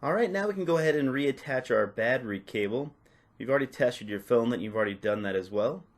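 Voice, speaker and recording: A man narrating a step-by-step tutorial off the cuff, in a casual, instructional way with softened phrasing. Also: mid-range voice, lively intonation, fast. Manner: casual, instructional, hedging with "kinda" and "a little bit". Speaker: repair tutorial presenter.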